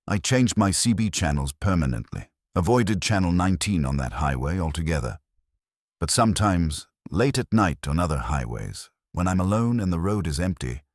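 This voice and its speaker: Very deep-voiced man narrating horror stories. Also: no room sound, studio quality. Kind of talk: scripted first-person horror narration.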